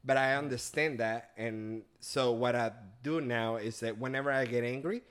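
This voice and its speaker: A man's voice: medium pitch, moderate pace, fairly bright tone, clear, resonant tone. Man, podcast guest; earnest, reflective, restarts often. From Venezuela. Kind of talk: casual chat.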